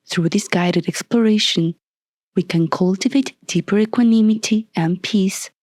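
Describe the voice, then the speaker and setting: A woman leading a guided meditation as a scripted narration, soft and breathy, calm and soothing, with deliberate long pauses. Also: lowish voice, dry recording, studio quality.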